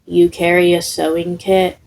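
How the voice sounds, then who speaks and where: A woman reading the part of an exasperated, dry male teacher in a story, muttering and deadpan. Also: on the low side, measured pace, vocal fry, a little hiss or hum. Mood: dry, resigned.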